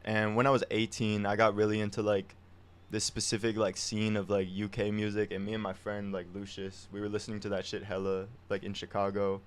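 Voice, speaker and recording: A man's voice: fairly low pitch, completely flat delivery, a little fast, smooth. A young man, a musician, chatting casually in an interview with a laid-back, slangy way of talking. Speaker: musician guest.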